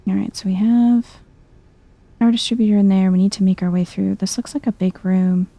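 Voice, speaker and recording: A soft-spoken woman giving chill, casual game commentary in an ASMR vibe, murmuring as if to herself. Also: mid-range voice, little pitch movement, brisk, no echo. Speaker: gameplay streamer.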